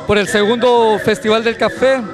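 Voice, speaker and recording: Male official, high pitch, speaking over festival music outdoors. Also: flat delivery, normal speed, crowd noise. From Honduras. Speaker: chamber of commerce president. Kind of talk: interview answer. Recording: outdoor interview with loud music behind.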